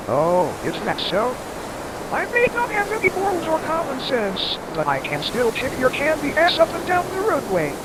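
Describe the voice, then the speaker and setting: Casting: performer as ranting, profane storm reporter, melodramatic and taunting, very animated pitch, heard through loud surf noise. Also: normal speed. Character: hot-tempered, foul-mouthed storm reporter, ranting and dramatic. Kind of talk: amateur audio drama acting.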